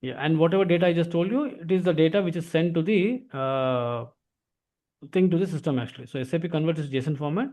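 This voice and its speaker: A man's voice: fairly high pitch, fairly quick, darkish tone. A man with an Indian accent giving an informal explanatory lesson as an instructor, often tagging sentences with filler words. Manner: repeats filler tags like "actually".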